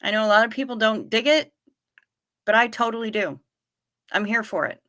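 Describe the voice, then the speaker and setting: A woman's voice: a little high, moderate pace, fairly bright tone, no echo, clean studio sound. A woman in her fifties hosting a livestream, chatting playfully and answering viewers by name. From Niagara Falls region. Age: 50s. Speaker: livestream host. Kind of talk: casual livestream chat reading viewer comments.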